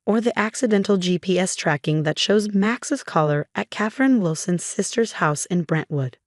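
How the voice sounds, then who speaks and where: A woman narrator plays a protective mother out for justice in a dramatic audio story. She is calm, determined and pointed, in a dry, close recording. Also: fairly low pitch, moderate pace, no echo, natural voice. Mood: cutting.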